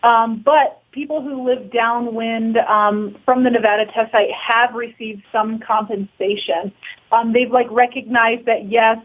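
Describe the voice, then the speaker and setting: Thin, phone-like audio carries a woman's fairly high voice. She is a documentary producer being interviewed, explaining informally and a little hesitantly. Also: fairly even intonation, unhurried. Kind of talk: casual interview talk. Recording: thin, phone-like narrow band.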